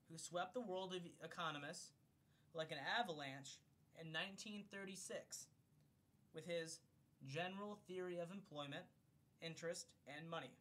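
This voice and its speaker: A slightly drunk man reading an economics text aloud in an amateur way, declamatory on the page and loose and profane in casual asides. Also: bright, forceful tone. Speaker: amateur reader of a bedtime recording for a friend. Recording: homemade recording with low rumbling noise.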